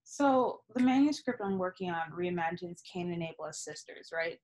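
Young woman, native yoruba speaker, lively candid talk, webcam audio, small home room. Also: on the low side, moderate pace, a little hiss or hum. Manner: animated, gesturing, candid with swearing. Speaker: poet, interview guest. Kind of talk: casual reflective conversation. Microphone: laptop webcam on video call.

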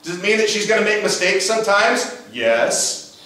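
Pastor with a big voice in an echoey church. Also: male voice, high voice, big pitch swings, normal speed, bright, forceful tone, recorded from a distance. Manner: conversational preaching with rhetorical questions. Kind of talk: sermon. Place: church sanctuary. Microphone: far camera, room mic. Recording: echoey church sanctuary, mic from afar.

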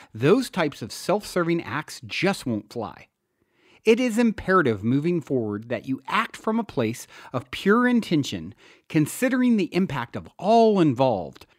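A man from the US East Coast reading his own self-help book in a deliberate, earnest way. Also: fairly high pitch, no room sound, clean studio sound. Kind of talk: scripted audiobook narration.

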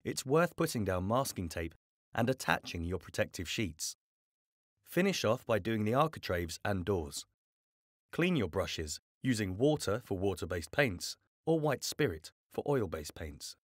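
A man calmly narrating a scripted home-improvement tutorial in a clear, measured way. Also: fairly low pitch, dry recording, studio quality. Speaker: voice-over narrator.

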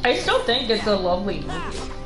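A young adult woman co-hosting a game stream, chatting casually in an animated, rambling way. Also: medium pitch, a little fast. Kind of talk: casual chat over gameplay. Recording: roomy stream with game music behind. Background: video game music.

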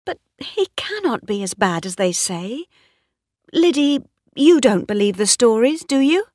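A woman's voice: on the high side, very animated, measured pace, a bit bright, no room sound, studio quality, voice pushed up. A woman voicing a proud, headstrong, lovestruck young mistress of a farm, emotional and insistent, as storybook dialogue. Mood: anxious, lovestruck.

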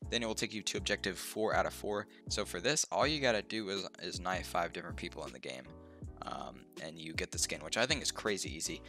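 A young man with a deep, low voice that sounds stuffed up and a little nasal. His tone is bright and casual, full of ums and uhs as he talks into a desk mic. Faint music plays behind him. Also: brisk. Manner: relaxed, rambling, lots of ums and uhs. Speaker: gaming youtuber. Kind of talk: casual game walkthrough. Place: home room, indoors.

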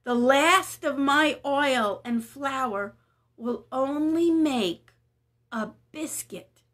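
Woman doing a sad, soft-spoken poor widow. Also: sits high, very slow, full character voice. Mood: sorrowful. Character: sad, poor widow mother, kind and weary.

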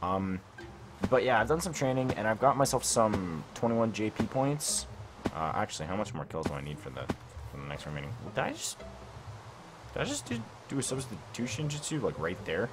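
A man's voice: on the low side, sing-song, brisk, background noise. A young man casually commenting on his own game session at home late at night, rambling and thinking aloud with lots of filler words. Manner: rambling, self-questioning, lots of "like" and "I don't know". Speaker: gaming YouTuber. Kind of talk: casual let's-play commentary. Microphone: desk mic.

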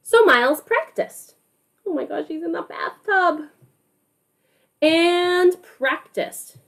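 A woman who teaches music, reading a picture book aloud to young children on a video call, expressive and affectionate, often putting questions to them. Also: extremely high pitch, slow pace. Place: online class over video call.

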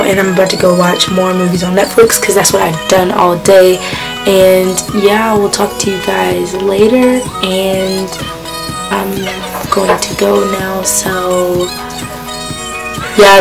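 A teenage girl vlogging from her bedroom, talking about her playlist in a chatty, rambling way. Her voice sounds hoarse because she has lost it. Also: mid-range voice, rough recording. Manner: chatty, rambling, with many fillers. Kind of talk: casual chat about a music playlist. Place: small bedroom, indoors.

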